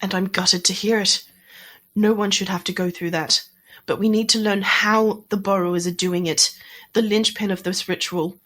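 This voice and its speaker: A woman's voice: mid-range voice, fairly even intonation, normal speed, a bit bright, lightly acted. A woman playing a young English agent, urgent and pleading in an argument, in an audio drama.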